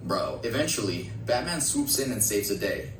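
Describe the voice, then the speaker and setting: A man's voice: fairly low pitch, very animated, moderate pace, slight background noise. A man reading scripted narration in a casual way, with personal asides. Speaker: video essay narrator. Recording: roomy, distant playback with music. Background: background music.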